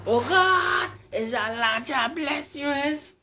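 A man's voice: very high-pitched, animated, slow pace, bright, forceful tone, soft background music, voice pushed up, heavily acted. A man doing a flirty man on a bike ride. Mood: terrified. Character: flirtatious man turned terrified by a demon.

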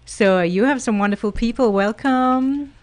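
A woman's voice: a little high, moderate pace, noisy background. A woman with a Jamaican accent hosting a radio talk show, chatting and affirming her guests often. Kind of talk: casual chat.

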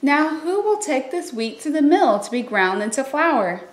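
Woman acting out an emphatic, determined little red hen, high-pitched. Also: sing-song, normal speed, reverberant. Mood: calling out.

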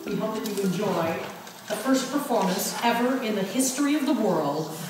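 A woman's voice: mid-range voice, expressive, moderate pace, distant mic. A woman presenter welcoming an audience to a play from a theatre stage. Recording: echoey theatre from afar, loud music.

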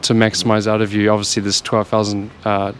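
A man in his thirties with a New Zealand accent, interviewing a guest in a large indoor gym and affirming him between quick questions on a handheld mic. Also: fairly low pitch, completely flat delivery, fairly bright tone, a little hiss or hum. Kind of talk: casual interview.

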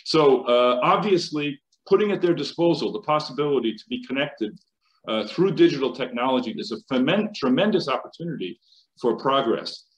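Middle-aged American professor, halting panel delivery, average pitch, echoey call audio. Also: male voice, expressive. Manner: hesitant, many fillers and restarts. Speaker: panelist, chemical engineering professor. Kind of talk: conference panel remarks. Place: home office. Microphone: video call. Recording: roomy video call audio.

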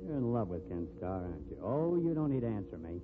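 An actor playing a stern, jealous, blunt friend, lecturing forcefully in 1950s radio style. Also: male voice, lowish voice, very animated, quick pace, over music. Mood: reproachful. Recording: tinny, noisy 1950s radio recording.

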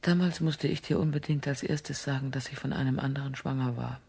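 A tender, worried wife and mother in a radio play. Her voice is husky and breathy, with a gravelly fry at the edges. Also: extremely low pitch, fairly even intonation, brisk, darkish tone, heavily acted. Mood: hushed, confiding. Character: tender, anxious wife and mother in London suburb. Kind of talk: German radio play acting.

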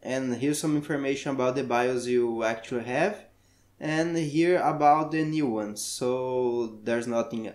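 A man from Brazil with a Brazilian Portuguese accent, a native Portuguese speaker, talking through a software tutorial in halting English and searching for words. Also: medium pitch, reverberant. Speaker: tech tutorial maker. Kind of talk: screen-recorded software tutorial.

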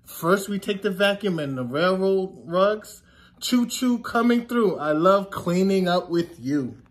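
Slow, high-voiced man reading to children. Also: expressive. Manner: sing-song, deliberate, stressing repeated words. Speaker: teacher reading aloud to children. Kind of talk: children's picture book read-aloud. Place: classroom, indoors.

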